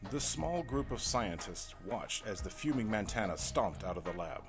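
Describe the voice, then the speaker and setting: A man's voice: lowish voice, big pitch swings, normal speed. A man delivering dramatic scripted narration in a theatrical, mock-serious way. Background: music.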